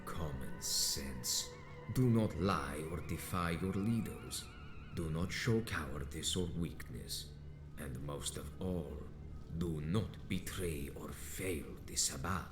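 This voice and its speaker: An ancient, proud vampire lord speaks from the very bottom of the range. The delivery is stern and imperious. The voice rings in a roomy echo, with music playing behind. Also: male voice, extremely low pitch. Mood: stern, warning. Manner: slow, grave, formal and imperious. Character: ancient, stern male vampire sect elder, menacing and proud. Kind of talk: theatrical video game dialogue.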